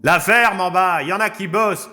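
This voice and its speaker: A man voicing a gruff, sardonic police inspector with a blunt, grumbling delivery. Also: high voice, normal speed, bright, forceful tone, faint music behind, voice pushed up. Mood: irritated shouting.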